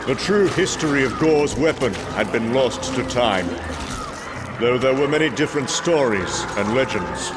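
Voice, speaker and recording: A man's voice: medium pitch, natural voice. A man voicing a grave storyteller, narrating a mythic motion comic in a solemn, portentous manner. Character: grave male epic storyteller of gods and battles. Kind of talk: scripted epic narration. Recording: music behind the voice.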